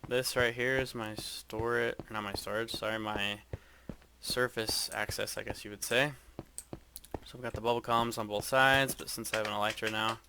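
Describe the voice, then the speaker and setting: A man with a lowish voice talks through a tour of his game build in a flat, even monotone. He hesitates often and fills pauses with ums. The recording carries clear background noise from a home setup. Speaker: gaming video creator. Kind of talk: casual game walkthrough.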